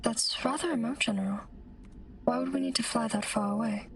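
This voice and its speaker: A woman's voice: on the high side, fairly quick, creaky, crowd noise. A woman playing a dutiful, politely formal female soldier in an audio drama. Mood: dutiful, curious. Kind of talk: scripted audio drama acting.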